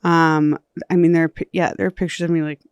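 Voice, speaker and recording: A woman's voice: low-pitched, flat delivery, fast talker, smooth, dry recording. A woman in her thirties, a comedian, chatting casually as a guest with a wry, self-deprecating humor and frequent laughs. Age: 30s. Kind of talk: casual chat.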